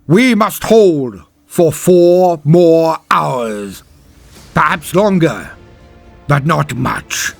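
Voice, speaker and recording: A man voicing a valiant space marine champion in a sci-fi audiobook, giving a solemn, rousing battle speech in a grand, drawn-out, declamatory way. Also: a little high, lively intonation, creaky, no room sound, soft background music, pitched above the performer's own voice, heavily acted. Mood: proud, solemn. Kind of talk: dramatic audiobook character speech.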